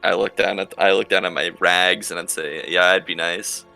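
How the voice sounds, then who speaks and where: A man's voice: low voice, fairly even intonation, moderate pace, gravelly, bright, forceful tone, faint music behind. A man playing in a tabletop roleplay game, talking casually and bluntly in character.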